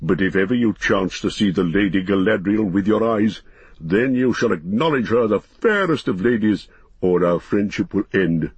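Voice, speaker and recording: A man voicing a gruff, proud dwarf warrior from a storybook, speaking in formal, archaic phrases. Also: fairly low pitch, expressive, normal speed, no room sound, highs cut off. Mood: stern challenge.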